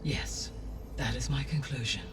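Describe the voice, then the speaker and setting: Female artificial intelligence, video game, solemn, exact, measured delivery, a little off-mic, music underneath. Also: very deep voice, recorded from a distance. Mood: grave, measured. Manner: measured, precise, formal. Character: calm, grave female artificial intelligence guardian of Earth. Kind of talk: video game dialogue, formal exposition.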